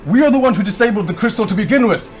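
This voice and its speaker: A man's voice: sits high, animated, racing, soft background music. A man playing a tabletop role-playing game, moving between table chat and in-character speech.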